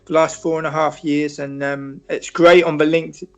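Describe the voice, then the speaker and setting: A British man answering interview questions in a measured, reflective way, often restarting and filling pauses. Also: a little high, flat delivery. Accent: British English. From Britain. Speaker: football writer and interview guest.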